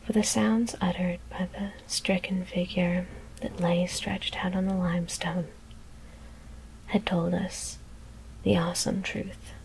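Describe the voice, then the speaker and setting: A woman whispers a horror story in a hushed, deliberate ASMR reading. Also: fairly low pitch, little pitch movement, gravelly, poor audio. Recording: faint background noise.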